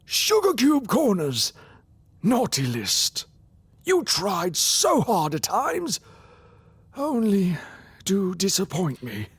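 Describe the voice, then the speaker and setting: A woman voicing a jolly but stern old Santa Claus creature, with a deliberate, theatrical delivery in an audiobook drama. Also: medium pitch, huge pitch swings, slightly rough, no room sound, exaggerated voice. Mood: solemn, gentle. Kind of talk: audiobook drama character acting.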